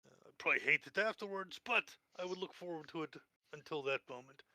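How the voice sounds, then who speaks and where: A man with a strikingly bright, sharp voice, pitched a little high, chats through his part in a tabletop roleplaying game. He narrates his character casually. The sound is close and dry. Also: animated, quick pace, dry recording.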